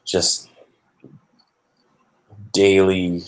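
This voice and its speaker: A man interviewing, asking long, halting questions with many restarts and fillers. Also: deep voice, completely flat delivery, background noise. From Hawaii. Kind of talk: casual interview.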